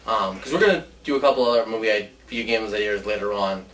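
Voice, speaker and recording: A man's voice: fairly low pitch, quick pace, a bit bright. A man co-hosting a casual chat show, sharing ideas in an informal, rambling way. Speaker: co-host of a movie ideas show. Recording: distant mic with faint background noise.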